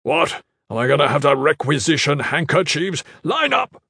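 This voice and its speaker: A man voicing a stern, curt commander of an elite guard in an audiobook story, with a gruff, gravelly voice. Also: medium pitch, lively intonation, moderate pace, dry recording, studio quality. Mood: gruff, commanding. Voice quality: gravelly, husky.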